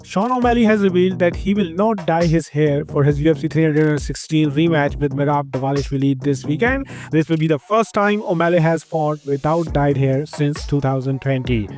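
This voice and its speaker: A man with an Indian accent hosting a scripted combat-sports news roundup, upbeat and quick. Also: a little high, darkish tone. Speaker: host of an MMA news channel.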